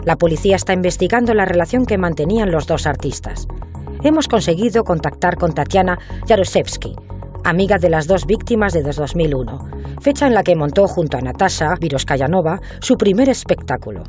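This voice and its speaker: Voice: mid-range voice, expressive, fairly quick, a little mellow, faint music behind, natural voice. An actor playing a composed radio news presenter conducting a formal scripted interview.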